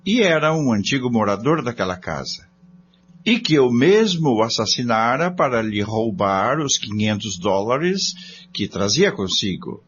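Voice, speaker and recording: A Brazilian man delivers scripted dramatic radio narration with animated intonation. The sound is a bit dull and carries a faint hum. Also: medium pitch, measured pace.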